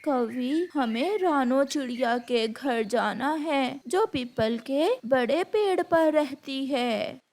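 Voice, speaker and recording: A woman playing a fond, determined mother bird in a cartoon, speaking slowly and earnestly. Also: sits high, expressive, darkish tone, exaggerated voice.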